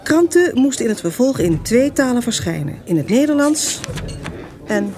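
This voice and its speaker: A woman's voice: a little high, sing-song, moderate pace, warm tone. A woman reading a scripted introduction to a radio serial as its narrator.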